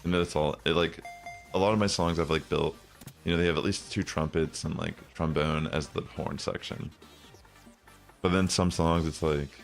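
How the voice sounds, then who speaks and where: Streamer: young man, bassy low voice, rambling casual talk, gravelly edge, faint music under him. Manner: rambling, many fillers. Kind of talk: casual chat while gaming.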